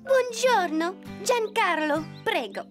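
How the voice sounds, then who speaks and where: A woman's voice: very high voice, lively intonation, slow pace, full character voice. A woman voicing a spoiled, haughty rich girl in a French cartoon dub, affected and self-assured. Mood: breezy, self-satisfied. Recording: music under the voice.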